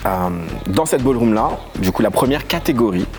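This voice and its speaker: A young man from Paris, a dance guest in a casual interview who talks in a lively, playful way with quick asides. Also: mid-range voice. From Paris, of Congolese origin. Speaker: guest, voguing dancer and house leader. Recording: faint music underneath.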